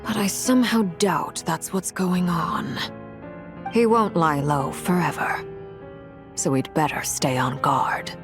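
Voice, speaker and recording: A woman's voice: on the low side, slow, over music. A woman playing a wary, dryly scornful female character in a video game. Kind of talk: video game cutscene acting.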